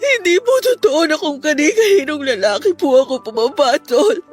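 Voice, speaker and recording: A woman's voice: sits high, expressive, moderate pace. An actress with a Filipino accent playing a distraught, remorseful young woman in a radio drama, pleading over music. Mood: tearful pleading. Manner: pleading, tearful delivery.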